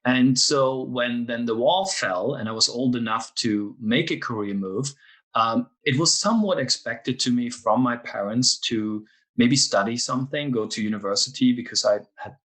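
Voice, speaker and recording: A man's voice: mid-range voice, normal speed, fairly bright tone. A man in his forties from East Germany with a German accent, telling personal stories candidly and earnestly as a podcast guest. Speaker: podcast guest, music industry executive. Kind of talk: conversational personal storytelling.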